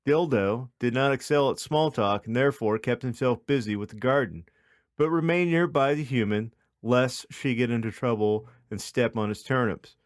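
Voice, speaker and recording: A man's voice: mid-range voice, normal speed, dry recording. Male narrator, mid-range voice, comic storytelling with mock drama, close dry recording. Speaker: podcast host.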